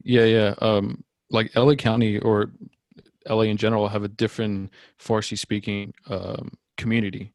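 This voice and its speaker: A young man, born in Iran, hosting a casual podcast chat in a halting way, often searching for words. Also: on the low side, flat delivery, a little mellow, no room sound. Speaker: podcast host.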